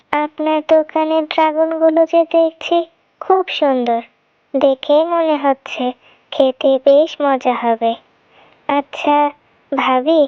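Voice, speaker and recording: Woman acting a cartoon mother fox, gentle, imploring, high voice, close dry sound, faint music. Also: dry recording, highs cut off. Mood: humble pleading. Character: gentle widowed mother fox, humble, patient, soothing. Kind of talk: children's cartoon story dialogue.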